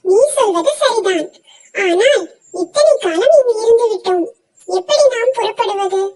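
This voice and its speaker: A woman's voice: very high-pitched, expressive, measured pace, darkish tone, far from the mic. A woman voicing a young duck in a children's moral story, practical and cautioning, with a thin childlike voice. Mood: hesitant.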